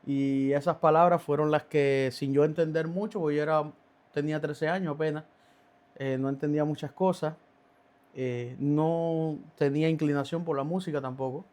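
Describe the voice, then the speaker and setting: A man's voice: a little high, fairly even intonation, a little mellow. A man, a podcast guest telling his faith story with many halts and restarts. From Cuba. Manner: halting, many restarts and fillers. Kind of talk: testimony in a podcast interview.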